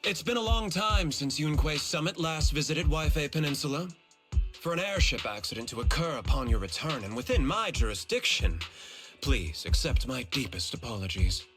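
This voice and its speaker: An actor playing a formal, smug company executive in a video game, pompous and courteous with veiled menace. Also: male voice, a little high, very animated, normal speed, music in the background. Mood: formally polite.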